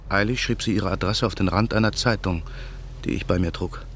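A deep, gravelly avenger. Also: male voice, fairly quick, a bit bright, a little hiss or hum. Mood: brooding. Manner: brooding, intense. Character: Daniel, a haunted man bent on revenge. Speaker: narrator and lead character. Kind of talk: radio play acting.

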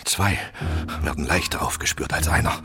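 A very low-voiced Swabian court tutor, learned and anxious, warns in urgent, breathy whispers. It is fantasy audio drama, with prominent music under the voice. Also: male voice, moderate pace. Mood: grave, tender. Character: anxious, learned royal tutor warning his prince.

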